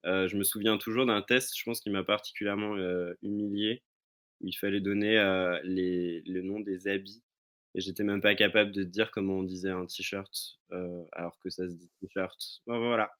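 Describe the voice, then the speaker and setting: A man's voice: low-pitched, steady tone, moderate pace, fairly bright tone. A man chatting casually and telling personal anecdotes as a podcast guest, often restarting and searching for his words. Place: remote recording from own location.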